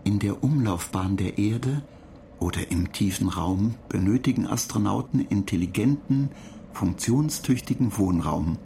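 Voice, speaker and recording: Pedantic ship computer, gravelly, detached, terse, radio drama. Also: male voice, fairly low pitch, unhurried, mellow, slight background noise. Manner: dry, lecturing, terse.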